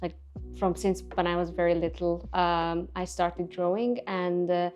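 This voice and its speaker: Soft-spoken woman, reflective. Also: fairly low pitch, flat delivery, a little slow, faint music behind. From Hungary. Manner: soft-spoken, reflective, with many fillers and restarts. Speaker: artist guest. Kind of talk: casual interview. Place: Budapest.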